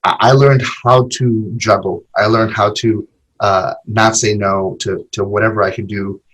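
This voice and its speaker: A podcast guest in his forties talks through his memories in a loose, conversational way, often doubling back on his own words. His voice sits low and has a breathy, husky edge. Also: steady tone, unhurried, a bit bright, rough recording. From Argentina. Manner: rambling, with many fillers and repeated words. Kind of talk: casual interview conversation.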